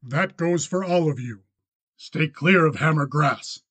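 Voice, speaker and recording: A man voicing an angry, cold young cattle rancher in an audiobook western, flat, clipped and challenging. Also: a little high, unhurried, husky, no echo, exaggerated voice. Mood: cold warning.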